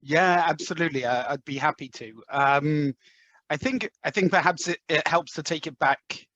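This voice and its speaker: A man's voice: on the high side, normal speed, a bit bright, no room sound. London man, dry muffled sound. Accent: London English. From London, England. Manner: thoughtful, many "um"s and restarts. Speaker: podcast guest, head of sales. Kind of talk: conversational interview answers.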